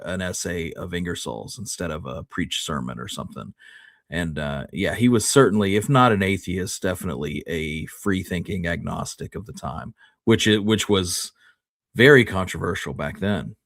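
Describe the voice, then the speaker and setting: Low-voiced, reflective man talking casually. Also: no room sound, clean studio sound. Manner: reflective, measured, hedges own opinions. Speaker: co-host of a history talk show. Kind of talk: casual unscripted discussion.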